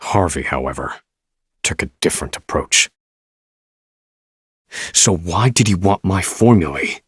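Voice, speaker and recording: A man voicing a grave, literal-minded scholar of magic in a video game, speaking in a formal, measured and stern way. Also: low voice, lively intonation, fairly bright tone, breathy edge, no room sound, studio quality, heavily acted. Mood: brooding, analytical. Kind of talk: dramatic video game voice acting.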